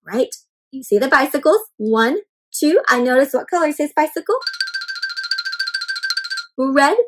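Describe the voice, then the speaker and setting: A woman with a high-pitched voice leads an interactive picture-book reading for young children. She speaks as a storyteller, in an animated manner, turning to her little listeners with questions and leaving pauses for them to think. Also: music in the background. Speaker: children's read-aloud storyteller.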